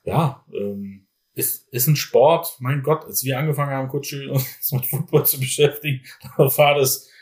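A man's voice: mid-range voice, fairly even intonation, normal speed. A man talks shop about running a football team in a casual podcast. His voice sits in the middle of the male range. He rambles, restarting sentences and leaning on fillers as he goes. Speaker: general manager of a football team.

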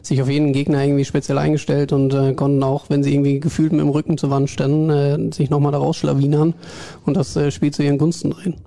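A man, a sports journalist, calmly discussing football on a podcast with a measured delivery and frequent hesitations. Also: on the high side, vocal fry. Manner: measured, many hesitation fillers. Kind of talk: casual football podcast chat.

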